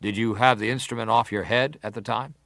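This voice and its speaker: An actor playing an American senator who questions a witness formally, measured and insistent, in a dramatized hearing. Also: male voice, medium pitch, steady tone, creaky, fairly bright tone, dry recording.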